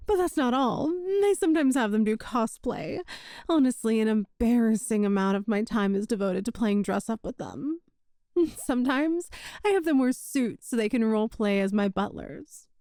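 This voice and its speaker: A woman's voice: a little high, lively intonation, moderate pace, dark tone, no room sound, clean studio sound. A woman playing a sweet, possessive young witch shopkeeper in an ASMR roleplay, with a coy, doting, teasing manner. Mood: gleeful, doting. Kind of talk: ASMR romantic roleplay audio.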